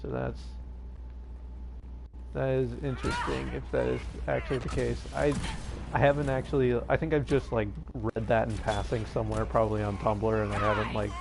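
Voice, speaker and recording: A man's voice: mid-range voice, gravelly, low-quality recording. A man streaming a game, chatting casually and hesitantly into his own microphone, with lots of fillers and restarts. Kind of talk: casual live-stream chat. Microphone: own plugged-in streaming microphone.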